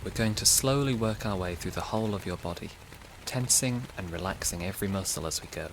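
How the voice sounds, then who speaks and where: A man hosting a guided sleep meditation podcast, giving soothing, gentle, unhurried instructions. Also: low voice, noisy background.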